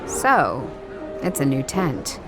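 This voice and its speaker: A woman's voice: very deep voice, very animated, slow pace, loud music behind the voice, exaggerated voice, rough recording. A woman voicing a wry former detective in a noir video game, dry, guarded and understated as she questions people. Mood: dry, probing. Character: wry, world-weary female former detective asking questions. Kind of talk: video game character dialogue.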